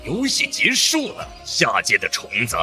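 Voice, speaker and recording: A man voicing an arrogant, godlike alien overlord in an animated comic drama, pompous, contemptuous and menacing. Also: on the high side, normal speed, faint music behind, full character voice. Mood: haughty contempt.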